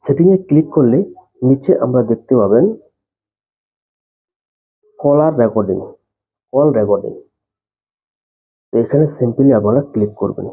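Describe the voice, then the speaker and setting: Very dark-voiced man explaining, muffled recording. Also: mid-range voice, a little slow, pauses often, breathy edge. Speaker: tech tutorial host. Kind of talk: step-by-step phone tutorial narration. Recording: muffled, dull lo-fi voice-over.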